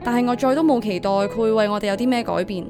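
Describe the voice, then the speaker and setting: A woman's voice: a little high, quick pace, over music, close to an everyday voice. An actress playing a devoted, vulnerable young single mother in a Hong Kong Cantonese audio drama, speaking in a heartfelt way. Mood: calm, reflective. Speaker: voice actor. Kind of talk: audio drama acting with first-person narration.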